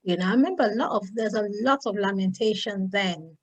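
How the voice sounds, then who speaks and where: A Nigerian woman hosting a panel discussion, speaking thoughtfully with many fillers and pauses. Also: mid-range voice. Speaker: host.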